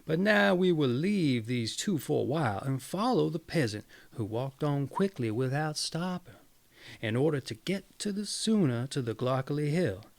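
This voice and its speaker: Male fairy-tale narrator. Higher-set voice, swinging intonation, creaky edge. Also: big pitch swings, moderate pace. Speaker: storyteller. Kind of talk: fairy tale read aloud, animated.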